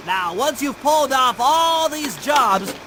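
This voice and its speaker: An actor playing a nerdy, careful young turtle gadget genius in a video game, nasal and precise. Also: male voice, very high voice, animated, slow pace, noisy background, full character voice. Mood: earnest briefing. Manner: precise, earnest, bookish briefing. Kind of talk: animated video game cutscene acting. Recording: loud music under the voice. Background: game music.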